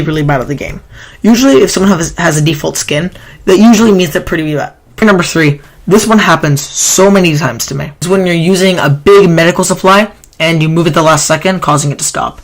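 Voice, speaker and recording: A man with a sore throat and a nasal voice, rambling through a gaming countdown as a YouTuber, often correcting himself mid-sentence. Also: fairly high pitch. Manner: rambling, self-correcting, complaining. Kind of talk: casual countdown commentary.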